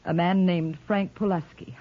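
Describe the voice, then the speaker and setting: A woman's voice: fairly low pitch, steady tone, moderate pace, natural voice. A woman acting as a composed, fed-up wife in a vintage radio play. She is businesslike and no-nonsense, and her voice comes through a dull, aged recording. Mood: firm, exasperated. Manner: crisp, no-nonsense. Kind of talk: old-time radio drama acting. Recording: muffled old radio recording.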